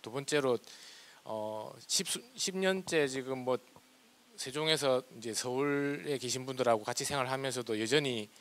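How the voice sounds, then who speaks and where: A man's voice: mid-range voice, moderate pace, a bit bright. A man with a South Korean regional accent, presenting formally as a government official in a nervous, hesitant way. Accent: South Korean regional dialect.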